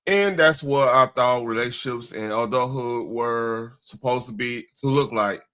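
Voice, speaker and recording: A man with a medium-pitched voice co-hosts a casual read-along. He reads interview questions aloud haltingly, stumbling over phrases, and speaks loosely and jokingly off the script. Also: fairly bright tone, smooth. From Chicago, United States. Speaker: show co-host reading interview questions.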